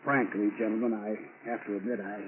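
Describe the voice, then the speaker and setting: A man's voice: fairly low pitch, fairly even intonation, a little fast, close to an everyday voice. An actor playing a genial, evasive salesman with an over-polite, folksy manner in 1940s radio style. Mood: affable, evasive. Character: genial, evasive salesman hiding his crimes. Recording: scratchy, tinny old-time radio broadcast.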